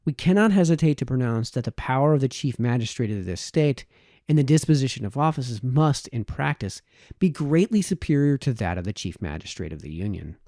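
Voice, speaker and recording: A man narrates a formal historical essay in a mellow, relaxed tone. His phrasing is measured and oratorical, with animated rises and falls in pitch. The sound is very dry, with next to no room echo. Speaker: audiobook reader. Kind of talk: read-aloud of a formal historical political essay.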